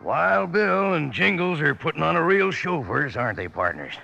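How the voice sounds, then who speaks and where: An American actor playing a jovial, folksy old cowboy with a western drawl, pitching to youngsters in a vintage radio advertisement. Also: male voice, sing-song, normal speed, gravelly, highs cut off, music in the background, heavily acted. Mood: jovial, selling. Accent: American Old West drawl. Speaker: show announcer. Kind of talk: 1950s radio cereal advertisement.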